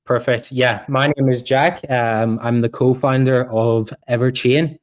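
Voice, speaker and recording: A man's voice: medium pitch, steady tone, unhurried. A man from Ireland with an Irish accent, a project co-founder speaking as a guest, hesitant with many ums and restarts. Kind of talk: casual interview talk.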